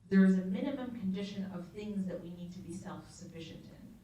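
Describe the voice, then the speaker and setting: A woman's voice: mid-range voice, fairly quick, dark tone, slight background noise. Woman from Ethiopia, echoey far-off hall. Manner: thoughtful, explanatory, with restarts. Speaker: panelist. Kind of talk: panel discussion. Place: large university event hall.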